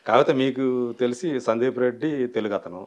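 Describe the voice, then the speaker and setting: A man conducts a casual interview at a quick clip. His voice sits at an average pitch, and he keeps probing with follow-up questions and brief acknowledgements. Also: lo-fi sound. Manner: probing, with frequent short acknowledgements.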